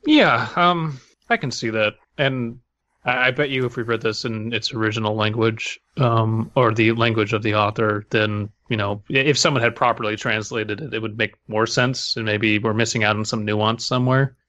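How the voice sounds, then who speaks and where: A man's voice: lowish voice, fairly even intonation, normal speed, fairly bright tone, no echo, lo-fi sound. A man co-hosting a podcast, chatting casually and reading stories aloud with wry, grumbling humor. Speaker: podcast co-host.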